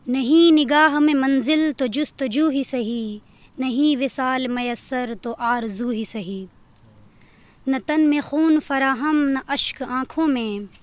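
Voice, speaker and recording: High-voiced woman slowly reciting verse. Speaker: poetry reciter. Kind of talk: ghazal recitation with repeated lines.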